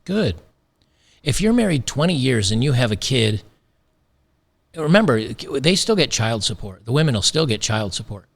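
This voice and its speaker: A man's voice: mid-range voice, big pitch swings, normal speed, vocal fry, soft background music. A man hosting an opinionated commentary show, emphatic and sarcastic, repeating phrases for effect, his voice a little worn today. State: slightly losing his voice. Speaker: commentary channel host.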